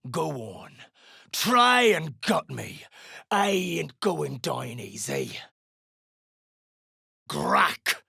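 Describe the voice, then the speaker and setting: An actor playing a gruff, greedy duergar mercenary dwarf in a video game, with a gravelly voice and coarse, threatening speech. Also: mid-range voice, sing-song, extremely slow, pauses often, bright, forceful tone, dry recording, clean studio sound, heavily acted. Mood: angry, threatening. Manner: coarse, swearing, dialect-heavy threats. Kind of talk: video game character voice acting.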